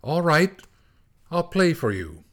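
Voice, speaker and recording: A man's voice: a little high, big pitch swings, slow pace, fairly bright tone, pitched above the performer's own voice, exaggerated voice. A man voicing a blunt, rather petulant young cellist who is puzzled by his visitors.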